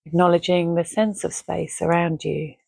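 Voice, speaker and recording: A woman guiding a relaxation meditation in a gentle, measured, soothing way, leaving long pauses between phrases. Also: on the low side, steady tone, gravelly, husky, no room sound. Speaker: meditation guide. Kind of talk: guided Yoga Nidra relaxation, soothing and measured.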